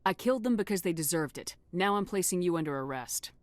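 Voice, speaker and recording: Firm, lowish-voiced military commander played by a woman. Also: lively intonation, fast, dry recording, close to an everyday voice. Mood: stern. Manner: direct, controlled, authoritative. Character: firm, principled female military commander hero.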